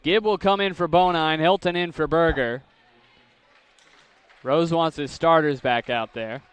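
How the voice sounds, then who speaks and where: A young man calling basketball play-by-play, with rapid calls that climb sharply on big plays. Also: a little high, steady tone, clean tone, no room sound. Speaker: play-by-play announcer. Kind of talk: live basketball play-by-play commentary.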